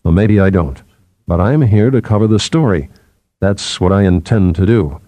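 A man reads as a weary newspaperman in a storybook tale. He is stubborn and a little defensive, with a dark, soft-edged tone. The recording is close and dry. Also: low voice, normal speed, no room sound, voice pushed down, close to an everyday voice. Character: proud, weary male newspaperman, uneasy yet stubborn.

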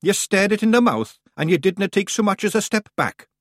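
A cheeky little Scottish fairy warrior, high and bouncy, rattling off broad dialect. His voice lifts and swoops all over, heard close and dry. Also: lively intonation, brisk, dry recording. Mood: gruff approval. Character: tiny rowdy Scots fairy warrior man. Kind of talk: audiobook character voice.

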